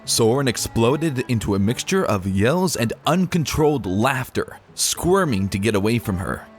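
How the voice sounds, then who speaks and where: A man narrating a story as an expressive dramatic reading. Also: medium pitch, normal speed. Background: music.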